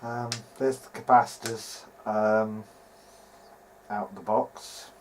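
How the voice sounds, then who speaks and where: A man's voice: lowish voice, takes it slowly. A man giving informal workbench commentary as a hobbyist restorer, thinking aloud in a hesitant way. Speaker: hobbyist radio restorer, presenter. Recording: clear steady background noise.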